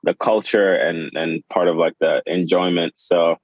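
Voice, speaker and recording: A man in his twenties, born in Sudan and raised in Kenya, speaking as a podcast guest in a halting, casual way with many fillers and restarts. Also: low-pitched, steady tone, dry recording, muffled recording. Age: 20s. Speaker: podcast guest, clothing designer. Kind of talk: casual interview.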